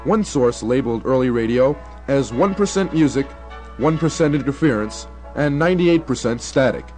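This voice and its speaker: A man's voice: mid-range voice, animated, moderate pace, a little mellow, soft background music, poor audio. A young American man reading scripted documentary narration. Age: young adult.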